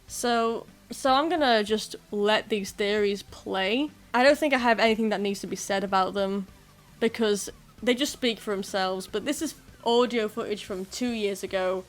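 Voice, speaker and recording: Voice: medium pitch, normal speed. A YouTuber presenting fan theories in a casual, self-deprecating commentary addressed to viewers. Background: music.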